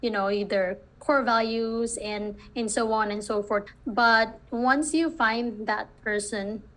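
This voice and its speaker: Woman, slightly high voice, full of fillers. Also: fairly even intonation, takes it slowly, fairly bright tone, rough recording. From Philippines. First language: Filipino language. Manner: hesitant, many restarts and "you know" fillers. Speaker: virtual assistant business owner. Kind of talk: interview answers.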